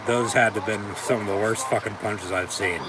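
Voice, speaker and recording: A man's voice: on the low side, steady tone, noisy background. Rambling man calling wrestling, far from mic. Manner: rambling, profane, halting with fillers. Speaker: watch-along commentator. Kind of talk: casual play-by-play reaction.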